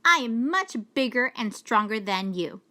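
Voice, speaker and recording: A woman's voice: a little high, lively intonation, unhurried, sharp tone. A woman voicing a proud, self-assured male lion in a storybook, with an emphatic delivery. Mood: boastful. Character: proud, boastful young male lion, grows into king.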